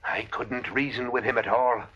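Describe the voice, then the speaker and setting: A man's voice: mid-range voice, fairly even intonation, moderate pace, fairly bright tone, husky. An actor playing an anxious, conciliatory townsman in an old-time radio Western, earnest and cautious. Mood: anxious, pleading. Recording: dull vintage radio recording.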